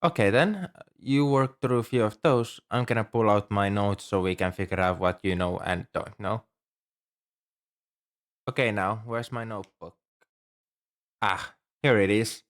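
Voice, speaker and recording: A man's voice: lowish voice, steady tone, measured pace, pauses often. A man performing an ASMR roleplay as a shy, earnest young student librarian, speaking in a hesitant, helpful and apologetic way. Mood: patient, helpful. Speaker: amateur audio roleplay performer. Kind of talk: ASMR roleplay, scripted one-sided dialogue.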